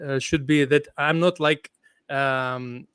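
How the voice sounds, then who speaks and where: Ukrainian guy on a video call late at night, sleepy, slow, lots of uhs and likes, smooth voice. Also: mid-range voice, fairly even intonation, a bit bright, no room sound, studio quality. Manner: halting non-native English with many fillers. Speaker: guest guitarist. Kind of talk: casual interview chat.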